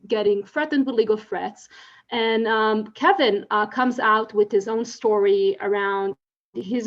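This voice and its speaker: A woman's voice: medium pitch, lo-fi sound. A woman from Israel with an Israeli accent, giving an earnest conference presentation full of fillers and restarts. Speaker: conference speaker.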